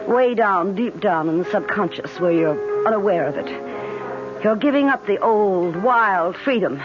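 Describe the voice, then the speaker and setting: Woman acting devoted anxious fiancée, earnest, dramatic, old-time radio, music clearly behind. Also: medium pitch, expressive, a little slow, dull audio, lightly acted. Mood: insistent.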